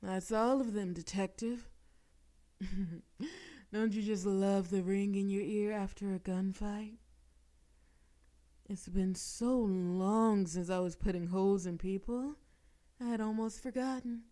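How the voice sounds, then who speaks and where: Flirty female mafia boss, slow and mellow, as a woman plays her, teasing tone, audio roleplay. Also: medium pitch, fairly even intonation. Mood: focused. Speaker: voice actress.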